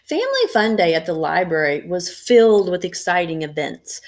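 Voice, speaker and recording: Middle aged teacher lady, voice a bit on the low side, doing an expressive storytime read for kids. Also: moderate pace, a little hiss or hum. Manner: expressive, clearly addressing young children. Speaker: school educator reading aloud to students. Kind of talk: read-aloud storytelling for children.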